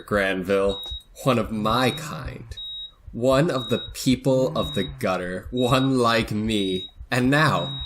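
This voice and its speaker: A man's voice: mid-range voice, noisy background, natural voice. A man playing a gloating, mad surgeon from the gutter in 1930s horror radio style, muttering and taunting. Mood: gloating, bitter. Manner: taunting, muttering to himself, drawn-out menace.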